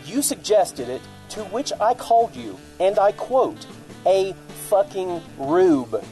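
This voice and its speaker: A man's voice: high-pitched, sing-song, slow pace, dark tone, faint music behind, exaggerated voice, poor audio. A man playing a blunt, boastful, crude county commissioner in a comic radio commercial. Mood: insulting. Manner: blunt, insulting.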